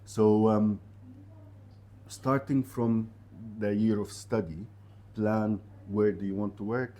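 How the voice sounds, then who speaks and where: Low, mellow man with halting delivery. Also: fairly even intonation. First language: Arabic. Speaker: university regional representative, interview guest. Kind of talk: conversational advice to prospective students.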